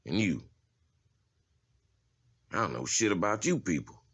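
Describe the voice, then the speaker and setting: Man playing a very deep-voiced, streetwise prison inmate. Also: very animated, measured pace, a bit bright, husky, exaggerated voice. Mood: guarded. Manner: casual, slangy, profane. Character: tough, streetwise adult male prison inmate giving a tour. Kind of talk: comic-book dramatization, character acting.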